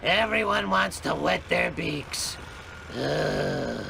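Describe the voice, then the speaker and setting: Jaded cartoon clown: heavily gravelled, high, forceful voice, weary grumble, faint noise behind. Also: male voice, expressive, takes it slowly, full character voice. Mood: jaded, grumbling. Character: jaded, seedy, worn-out male TV clown.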